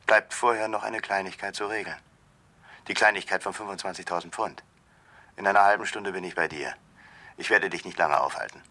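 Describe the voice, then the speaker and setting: Gravelly, hard-edged pushy shady man. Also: low-pitched, a little fast, bright, forceful tone, full character voice. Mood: menacing, probing. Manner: insistent, probing. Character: pushy, suspicious male creditor and accomplice. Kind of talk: radio drama acting.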